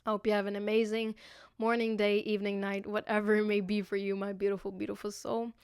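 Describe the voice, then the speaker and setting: A woman's voice: medium pitch, level tone, fast talker, darkish tone, clean tone, no room sound, studio quality. A woman hosting a casual motivational podcast, talking informally and affectionately straight to listeners, with slang and free swearing. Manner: affectionate direct address to listeners. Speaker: podcast host. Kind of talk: casual, motivational self-reflection podcast monologue.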